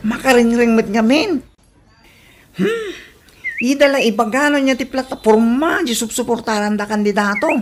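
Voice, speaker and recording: A woman's voice: a little high, expressive, moderate pace, pauses often, slight background noise, close to an everyday voice. A woman acting a gossipy villager in an Ilocano radio comedy drama. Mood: gossiping.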